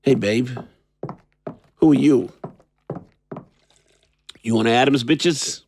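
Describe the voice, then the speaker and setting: An actor playing an unhinged, resentful and cruel man with a gravelly voice and a sardonic manner in a horror audio drama. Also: mid-range voice, takes it slowly, a little mellow, a little hiss or hum. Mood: sardonic, wary. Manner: sardonic, erratic swoops.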